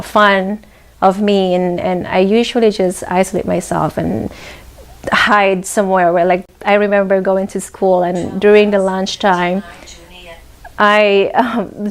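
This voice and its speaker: Woman, 30s, mid pitch, gravelly, halting and thoughtful interview guest. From Philippines. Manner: hesitant, many ums and restarts. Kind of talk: conversational interview storytelling.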